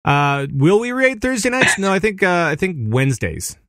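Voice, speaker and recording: A man's voice: sits high, big pitch swings, normal speed, a bit bright, dry recording, studio quality. A man co-hosting a podcast, talking casually and rambling, with frequent restarts and easy laughter. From Minneapolis area, USA. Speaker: podcast co-host.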